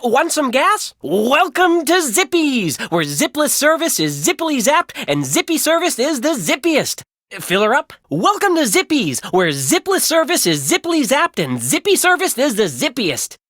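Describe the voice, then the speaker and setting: A woman's voice: sits high, huge pitch swings, moderate pace, fairly bright tone, no room sound, studio quality, full character voice. A folksy gas station man. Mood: cheery. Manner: drawling, folksy, repeats a goofy slogan. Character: gruff folksy male gas station owner. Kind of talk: video game voice acting.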